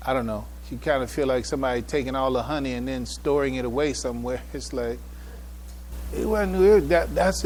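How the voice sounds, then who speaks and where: Halting man giving a public address. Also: mid-range voice, darkish tone, a little hiss or hum, poor audio. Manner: halting, repeats and restarts phrases, trailing asides. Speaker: conference speaker presenting a paper. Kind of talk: public address, informal preamble then reading a paper aloud. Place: public event before an audience.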